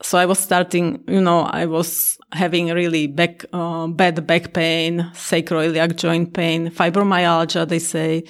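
A woman's voice: lowish voice, little pitch movement, unhurried, hardly any pauses. A woman from Slovakia with a Slovak accent, talking as an interview guest about her teaching, hesitant with many fillers. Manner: hesitant with fillers, laughs often. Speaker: guest, Tai Chi and Qigong teacher. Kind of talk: casual interview talk.

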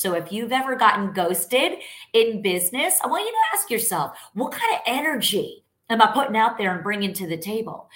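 New lady sales coach, loud and fired up, keeps asking the audience stuff. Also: mid-range voice. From New York. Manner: loud, energetic, emphatic, asks the audience questions. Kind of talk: live-stream coaching talk.

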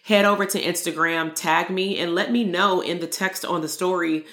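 Low-voiced woman coaching in an echoey room. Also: fairly even intonation, normal speed. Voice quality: loud voice. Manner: earnest, coaching, repeats key lines for emphasis. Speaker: podcast host and therapist coach. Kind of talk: solo motivational podcast talk.

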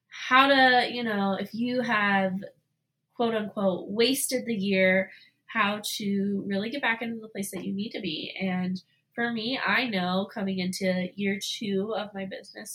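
A woman's voice: medium pitch, low-quality recording. Chatty young woman podcast host. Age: 20s. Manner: chatty, frequent "like" and "you know" fillers; animated, voices her own past thoughts. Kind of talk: casual solo podcast talk.

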